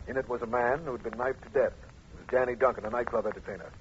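A man's voice: medium pitch, fairly even intonation, lightly acted. Man acting serious official DA guy from old radio show, mid voice, formal, muffled and hissy recording. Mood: businesslike. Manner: formal, measured. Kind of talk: 1950s radio drama acting and narration.

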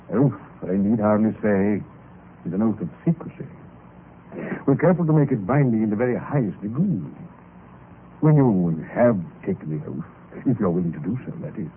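An actor playing the sly, cautious president of a secret club, urbane and measured, in old-time radio drama style. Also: male voice, fairly low pitch, close to an everyday voice. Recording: noisy, tinny old radio recording.

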